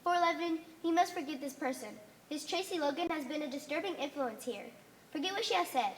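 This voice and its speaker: A woman's voice: high-pitched, animated, brisk, slight background noise. A young woman playing a stern, solemn religious leader in an amateur stage play, speaking in commanding, declamatory tones. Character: stern authoritarian female religious leader of a conformist society. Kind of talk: amateur one-act stage play acting. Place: theater stage.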